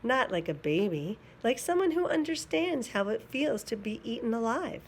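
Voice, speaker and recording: Lady doing a kind old grandpa cow, gentle, storybook. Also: a little high, sing-song, normal speed, a little hiss or hum, pitched above the performer's own voice.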